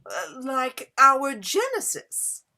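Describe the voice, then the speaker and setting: A woman's voice: sits high, huge pitch swings, very slow, bright, forceful tone, full character voice. A woman playing a flirtatious Gilded Age society hostess in a period play reading, speaking in an affected, coy and theatrical way. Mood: coy, fascinated. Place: indoors, remote online reading.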